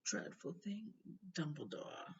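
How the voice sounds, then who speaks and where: A woman voicing a cold, sneering aristocratic man in a storybook, with a smooth, lazy and condescending drawl. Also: low voice, wildly swooping pitch, takes it slowly, full character voice. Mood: lazy, mocking. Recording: noisy, dull home recording.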